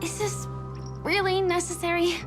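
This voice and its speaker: A wary young heroine from an anime game, serious and skeptical. Her voice sits quite high, with dry, measured questioning. Music plays clearly behind her. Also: level tone. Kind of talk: anime-style game voice acting. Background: game soundtrack music.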